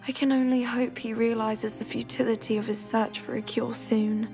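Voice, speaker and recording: A young woman plays a cursed teenage witch in a video game, with music behind her. Her British voice is fairly high, soft and weary, politely resigned to her fate. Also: flat delivery, normal speed, close to an everyday voice. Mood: resigned, sad. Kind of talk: video game character dialogue. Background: background music.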